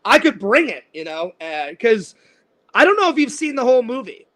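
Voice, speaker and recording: A man's voice: very high voice, big pitch swings, a little fast, bright, forceful tone. A man in his forties hosting a casual interview, rambling and restarting his sentences. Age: 40s. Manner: rambling, repeats words and restarts often. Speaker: host.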